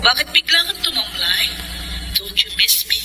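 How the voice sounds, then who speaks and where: Very high-voiced pushy flirt. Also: male voice, sing-song, moderate pace, background noise. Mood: huffy, insistent. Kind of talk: radio drama acting. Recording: loud street-like ambience.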